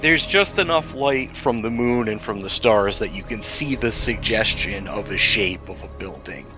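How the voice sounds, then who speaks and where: A man with a fairly low voice runs a tabletop role-playing game. He sets scenes descriptively, making them up as he talks in a conversational style. Music plays clearly behind him. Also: moderate pace, sharp tone. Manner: descriptive, improvised scene-setting. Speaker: game master.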